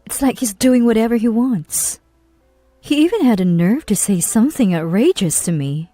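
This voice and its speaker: Animated woman narrator, close dry sound. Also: on the high side, moderate pace, no echo. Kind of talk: scripted first-person story narration.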